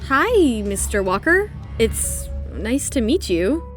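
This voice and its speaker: A woman's voice: fairly high pitch, sing-song, takes it slowly, faint music behind. An actress playing a proud, defiant young mother in a melodramatic audio drama, speaking with indignant emotion. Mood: polite.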